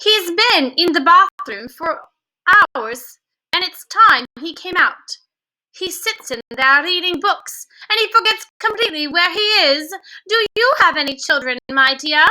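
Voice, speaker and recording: A woman reading aloud plays a fussing, doting old grandmother, high and very bright in tone, gushing over her grandson in a storybook tale. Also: lively intonation, a little slow, exaggerated voice, rough recording. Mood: chatty. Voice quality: fond, doting. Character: loving elderly grandmother, fussing and warm.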